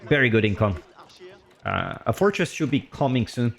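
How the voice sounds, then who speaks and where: A man streaming live commentary on a strategy game match. He is chatty and loose, and his voice leaps up on big plays before settling back to calm explaining. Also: moderate pace, gravelly. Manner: excitable, voice jumps high on big plays, then calm explaining; loose and chatty, with fillers and restarts.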